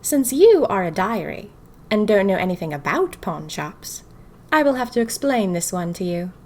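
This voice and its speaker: Wry, whimsical young typist played by a woman. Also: mid-range voice. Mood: confiding, enchanted. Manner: wry, expressive, dramatic pauses. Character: whimsical, bored young 1920s typist confiding in her diary. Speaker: narrator of a fiction podcast. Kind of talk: first-person diary audio fiction narration.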